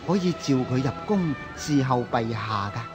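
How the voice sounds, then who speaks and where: An actor playing a fawning, scheming palace eunuch in a historical radio drama, obsequious and coaxing. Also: male voice, medium pitch, expressive, normal speed, music in the background, close to an everyday voice. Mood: sly, coaxing.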